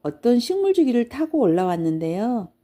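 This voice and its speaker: Female reader as small boy, curious, polite, matter-of-fact, soft mellow timbre, storybook style. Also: on the high side, big pitch swings, a little fast, pitched above the performer's own voice. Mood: polite, explaining. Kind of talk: children's storybook character voice.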